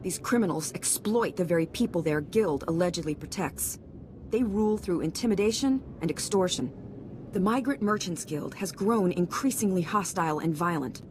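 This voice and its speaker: An actress playing a stern, formal senator with authoritative oratory in a video game. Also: female voice, moderate pace, a little mellow, lightly acted. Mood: stern urgency. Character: stern, commanding female senator, pressed for time. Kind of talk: video game cutscene acting. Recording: noticeable rumbling background noise.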